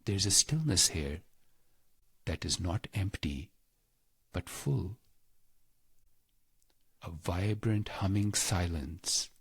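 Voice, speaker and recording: A man leading a scripted spiritual guided meditation in a calm, measured, reverent manner with deliberate pauses. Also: low voice, husky, no echo.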